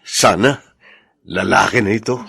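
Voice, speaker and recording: An actor with a Filipino accent playing a respectful, hopeful young soldier and devoted husband in a radio drama. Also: male voice, lowish voice, a little slow, creaky, pushed and bright, husky, exaggerated voice. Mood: excited, hopeful. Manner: respectful, earnest.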